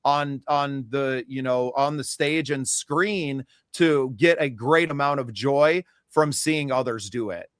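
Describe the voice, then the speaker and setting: A man's voice: medium pitch, takes it slowly, few pauses, a bit bright, no echo, studio quality. A man from the United States hosting a podcast, talking in an earnest and reflective way during a casual interview. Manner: earnest, reflective, with some restarts. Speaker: podcast host.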